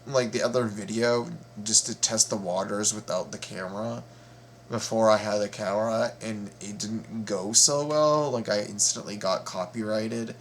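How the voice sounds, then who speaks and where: A man hosting a casual reaction video, talking in a halting, playful way and often repeating his words. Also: lowish voice, steady tone, a little hiss or hum.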